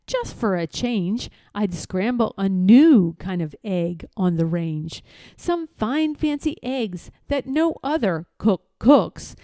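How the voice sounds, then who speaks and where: Animated, unhurried woman reading a storybook. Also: medium pitch, dry recording. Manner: theatrical, rhythmic rhyming delivery. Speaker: storybook reader. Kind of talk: expressive children's read-aloud.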